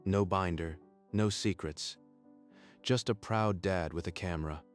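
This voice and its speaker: A man playing a proud, gentle, protective father in a manga dub. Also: sits low, unhurried. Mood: quiet pride. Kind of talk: manga dub character acting. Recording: music behind the voice.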